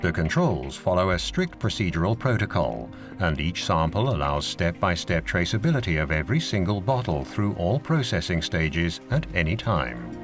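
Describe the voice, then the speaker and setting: A low-pitched man narrates an educational documentary with clear music playing underneath. His delivery is scripted, measured and formal, laying out information in an orderly way. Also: very animated. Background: music behind the voice.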